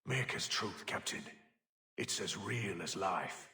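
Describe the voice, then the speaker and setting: Casting: a cursed stone pirate deckhand for a game, excitable, rustic, sharp-toned, heard distantly in an echoing space. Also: male voice, sits low, takes it slowly, heavily acted. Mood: earnest storytelling. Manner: rustic sailor talk, excitable. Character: male pirate crewman turned to stone, pleading, excitable. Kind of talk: video game voice acting. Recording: roomy echo, distant from the mic.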